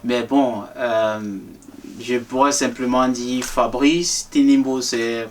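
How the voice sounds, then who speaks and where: A young man from Cameroon answers interview questions in a halting, filler-heavy way, restarting his sentences often. His voice stays flat and even in pitch. He sounds a little distant from the microphone, as if on a call. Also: a little hiss or hum. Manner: hesitant, many fillers and restarts. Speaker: guest author.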